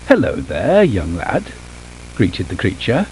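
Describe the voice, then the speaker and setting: A man's voice: sits low, huge pitch swings, takes it slowly, a little mellow, full character voice. A man playing the storyteller of a sinister children's tale, in theatrical storybook narration over a noisy, humming recording. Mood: measured, ominous. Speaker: storybook narrator of an analog horror film.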